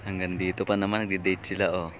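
A man with a Filipino accent giving slow, joking running commentary as he tours a floating restaurant outdoors at night. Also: low-pitched, monotone, a little hiss or hum. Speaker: vlogger. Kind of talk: casual touring commentary. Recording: loud music in the background. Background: music playing.

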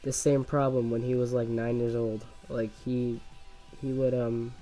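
A man with a mellow voice, a little worn from illness and a lingering cough, talks casually over his own gameplay. He stumbles and restarts his sentences often and chuckles at himself. Faint game music sits quietly behind him. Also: mid-range voice, level tone, poor audio. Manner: halting, many restarts and fillers, laughs at himself.

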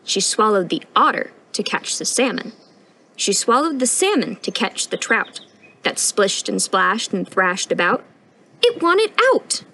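A young woman reading a picture book aloud at storytime in an expressive, sing-song rhyming delivery, recorded outdoors. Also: medium pitch, unhurried, slight background noise, poor audio. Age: young adult. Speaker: storytime reader, intern. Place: outdoors on a wooden porch.

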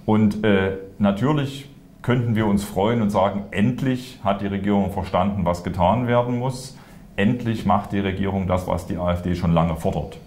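Male political spokesman, low register, measured and argumentative, audible room echo. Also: a little mellow. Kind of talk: interview answers, political commentary. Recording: roomy echo.

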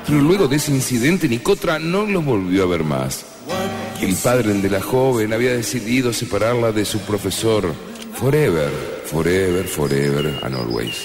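An Argentine man playing a pompous, melodramatic narrator of a parody radio soap opera, with theatrical, drawn-out phrasing. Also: deep voice, roomy sound. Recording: voice over loud music.

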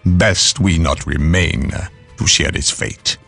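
A man's voice: deep voice, takes it slowly, gravelly, fairly bright tone, breathy edge, soft background music, exaggerated voice. A man playing an imperious, pompous inquisitor with a formal delivery in a game cutscene. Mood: cool, haughty. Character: imperious, haughty male inquisitor. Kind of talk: dramatic game cutscene acting.

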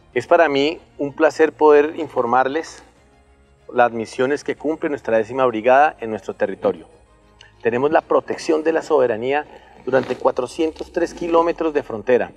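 A middle-aged man with a Colombian accent, a military commander delivering a formal, declarative briefing. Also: medium pitch. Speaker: army brigade commander giving a briefing. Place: outdoors beside artillery guns at a military base.

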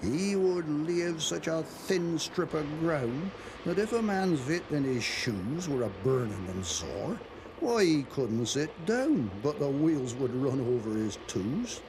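A man with a Dorset accent reciting dialect poetry as an expressive, emphatic storyteller. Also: a little high, takes it slowly. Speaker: poetry reader. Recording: noticeable background noise, 1990s television soundtrack.

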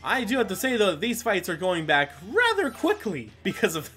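A man with a fairly high, bright and forceful voice talks casually over a video game. He rambles along in a self-deprecating way with some mild swearing, and music plays behind him. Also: huge pitch swings, brisk, husky. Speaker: gaming video host. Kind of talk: casual gameplay commentary.

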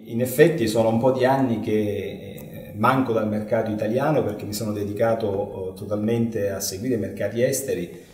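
A man's voice: fairly low pitch, fairly even intonation, normal speed, darkish tone. Hesitant man, roomy distant sound. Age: middle-aged. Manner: hesitant, many fillers. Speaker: loudspeaker company owner. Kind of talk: interview answers. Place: living room showroom with speakers.

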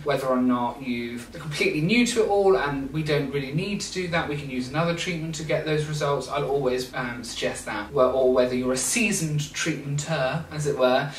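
A man's voice: on the high side, normal speed, hardly any pauses, a little hiss or hum. A young man from England who runs a skincare clinic, explaining a treatment to camera in a chatty, informal way with frequent fillers. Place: small clinic room indoors. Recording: roomy, slightly distant camera sound.

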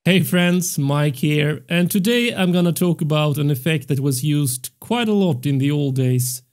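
A young man hosting a music production tutorial, explaining step by step in a measured way into a close studio mic. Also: on the high side, darkish tone. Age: young adult. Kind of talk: tutorial narration. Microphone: close studio microphone on a stand.

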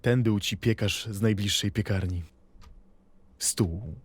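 A man playing a poet who tenderly recalls his childhood in a reflective, deliberate literary recitation for an audio play, with expressive pauses. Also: fairly low pitch, husky, no echo. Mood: solemn, dramatic. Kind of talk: dramatic poetry and memoir recitation.

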